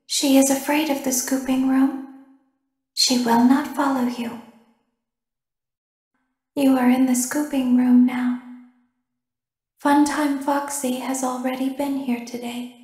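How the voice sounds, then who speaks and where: A woman voicing a calm, eerie female animatronic in a video game, childlike and pleading, speaking with slow, softly insistent measure. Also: high voice. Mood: calm, guiding. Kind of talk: scripted video game voice acting. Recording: roomy, echoing game audio.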